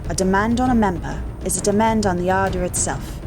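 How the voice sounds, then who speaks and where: An actress playing a firm, commanding army captain in an audio drama, over music. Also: female voice, medium pitch, brisk, close to an everyday voice. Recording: music bed under voice.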